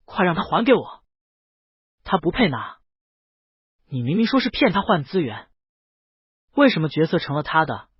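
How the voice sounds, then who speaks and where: A man acts out a furious young male rival in an audiobook. He protests in an entitled way, high and agitated, with a husky edge and very animated intonation. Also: moderate pace, pauses often, no room sound, muffled recording, heavily acted. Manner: shouting, entitled complaint. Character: furious young male rival actor demanding his role.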